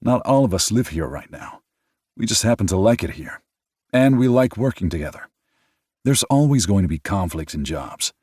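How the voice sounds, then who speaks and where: A man's voice: fairly low pitch, sing-song, normal speed, a little mellow, husky, no room sound, studio quality, voice pushed up. A man voicing Kingston, an earnest family man. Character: steady, thoughtful adult man speaking for his family.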